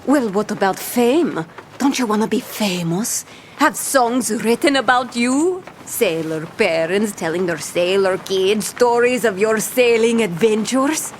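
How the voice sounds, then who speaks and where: A woman's voice: mid-range voice, lively intonation, a little slow, a little hiss or hum, natural voice. A woman playing a brash, fame-hungry pirate captain who talks in theatrical old-time pirate speak in a scripted audio adventure. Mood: eager, commanding. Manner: theatrical, mock-archaic pirate talk.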